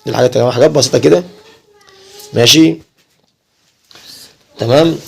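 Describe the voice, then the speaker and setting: Egyptian male family vlogger, rapid informal chat, repetitive, viewer-directed delivery. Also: mid-range voice, vocal fry, slightly rough. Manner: repeats himself, often addresses viewers.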